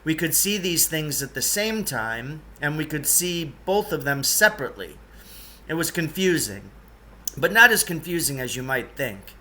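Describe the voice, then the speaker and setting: A man's voice: on the high side, sing-song, moderate pace, pushed and bright. A man reading a children's novel aloud as narration.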